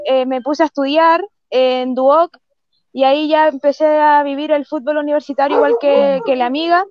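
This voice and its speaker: Woman, Chilean accent, high voice, casual interview answers, dry sound, video call. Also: dry recording. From San Antonio, Chile. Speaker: guest footballer. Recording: dull, lo-fi video call audio.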